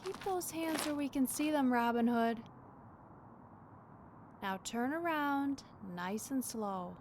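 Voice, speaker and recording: A woman's voice: high voice, animated, slow. A woman playing a stern, sardonic, commanding police captain in an audio drama. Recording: clear background noise.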